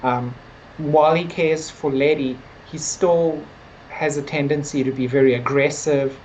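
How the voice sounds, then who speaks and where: A woman's voice: low voice, fairly even intonation, unhurried, darkish tone, a little hiss or hum, low-quality recording. A woman co-hosting a casual TV review discussion, speaking in a hedging, conversational way with many filler words. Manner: hedging, conversational, frequent "like" and "sort of". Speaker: podcast co-host discussing a TV series.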